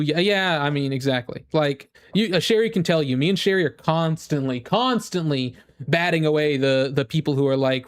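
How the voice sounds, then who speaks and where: A man streaming casually to his viewers, rambling and repeating himself as he gives emphatic opinions in fan-rant style. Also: on the high side. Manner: rambling, with frequent "like" and repeated phrases. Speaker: game streamer.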